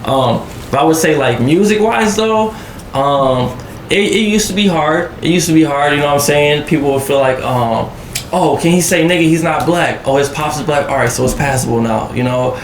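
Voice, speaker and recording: Gravelly laid-back man in an echoey room. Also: a little high, moderate pace, slight background noise. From Southwest Detroit. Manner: laid-back, slangy, loose phrasing. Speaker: podcast guest, musician and dancer. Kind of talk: casual chat.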